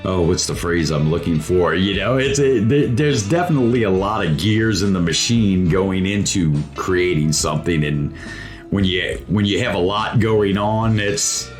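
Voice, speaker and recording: A middle-aged American man hosting a casual interview, with a halting, rambling, folksy way of talking. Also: low voice, soft background music. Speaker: talk show host.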